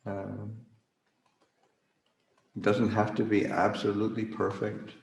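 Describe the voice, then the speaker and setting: A man with a fairly low voice leads a guided meditation. He speaks extremely slowly, in short halting phrases with long pauses and searching hesitations. The recording is echoey and roomy. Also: monotone, vocal fry. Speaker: Buddhist monk leading a guided meditation. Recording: roomy, echoing livestream recording.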